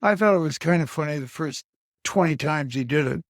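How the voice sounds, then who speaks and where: An older man from Alaska answering interview questions slowly, pausing often with fillers and short of breath. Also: on the high side, no echo, studio quality. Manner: halting, with many pauses and fillers. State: short of breath, on supplemental oxygen. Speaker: guest. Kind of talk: slow reflective interview answers.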